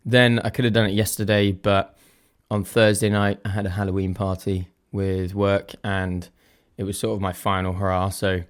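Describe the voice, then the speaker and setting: A young man hosting his own podcast, a little croaky, chatting casually and thinking aloud in a self-deprecating way. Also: fairly low pitch, level tone, moderate pace, few pauses. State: slightly croaky voice. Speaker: podcast host. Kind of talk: casual solo podcast chat.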